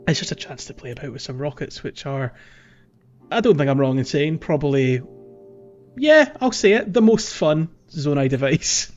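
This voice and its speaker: A man's voice: a little high, lively intonation, normal speed, darkish tone, highs cut off, faint music behind. A man co-hosting a casual gaming podcast, talking informally and thinking aloud as he goes. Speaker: podcast co-host.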